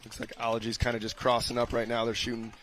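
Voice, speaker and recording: A man with a raspy voice doing easygoing color commentary on a paintball match. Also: medium pitch, completely flat delivery, fast, a bit bright, a little hiss or hum. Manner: easygoing, laughs often.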